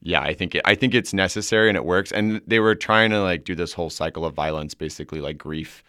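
A man's voice: sits low, fast, bright, forceful tone, smooth, dry recording, studio quality. A young man raised in Hong Kong, chatting casually as a guest and thinking aloud with many fillers. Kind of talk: casual chat.

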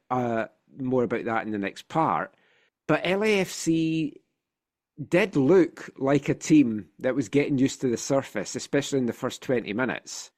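A man's voice: medium pitch, darkish tone. A Scottish man hosting a football podcast in casual chat, telling things with wry animation, slightly congested from a sinus infection. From Scotland.

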